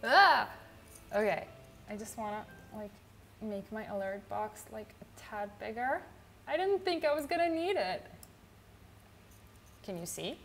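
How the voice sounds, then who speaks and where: A young woman from Israel with an Israeli accent, chatting casually on a livestream in a chill, low-energy way with lots of fillers. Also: animated, a little slow, long pauses, a bit bright, a little hiss or hum. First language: not English. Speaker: streamer.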